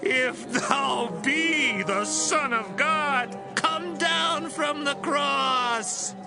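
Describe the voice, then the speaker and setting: Taunting passers-by, slow and shrill. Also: vocal fry, music in the background, heavily acted. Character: jeering passers-by at the cross, male crowd.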